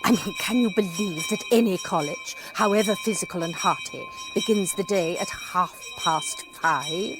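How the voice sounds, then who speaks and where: A woman's voice: on the low side, moderate pace, hardly any pauses, loud music behind the voice, lightly acted. Casting: actress as middle-aged British lady psychologist, dry humour, self-deprecating, confiding radio drama narrator. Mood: chatty, amused. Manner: chatty, dryly humorous, confiding. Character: wry, self-deprecating lady psychologist, former French teacher.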